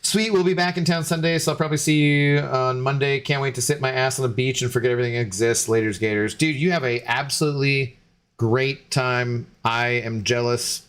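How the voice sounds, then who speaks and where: A man's voice: mid-range voice, fairly even intonation, fairly quick, fairly bright tone, clear, resonant tone. A young man, a game streamer, chatting loosely with viewers while tipsy, rambling with many fillers and restarts. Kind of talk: casual stream chat to viewers.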